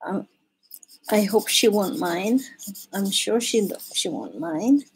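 A woman's voice: medium pitch, expressive, slow pace, gravelly, a little hiss or hum, poor audio. A young Filipino woman with a Filipino accent hosting a live drawing stream from her living room, chatting loosely in English and Tagalog, reading chat messages aloud and laughing often. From Philippines. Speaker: livestream host. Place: home living room, indoors.

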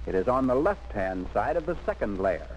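Male narrator for vintage army training film. Lively, swooping intonation, instructive and emphatic. Muffled old soundtrack. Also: lowish voice, brisk, faint music behind.

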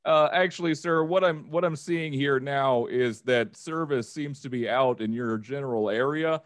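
A man acts out a strained, over-polite phone support agent for a comedy sketch. He speaks formally and haltingly, with a dry, close sound. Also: mid-range voice, dry recording, natural voice. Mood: strained patience. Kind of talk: improvised comedy phone-call skit.

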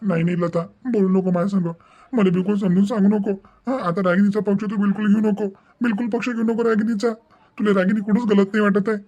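A man voicing an angry, wounded middle-aged father in an animated family drama, emphatic and repetitive. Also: high voice, fast talker. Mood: angry, stubborn.

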